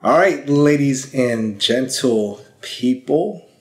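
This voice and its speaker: A man's voice: mid-range voice, animated, slow, echoey room, faint music behind. A young man from California hosting a casual podcast interview in an upbeat, chatty way, laughing easily with his guest. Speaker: podcast host.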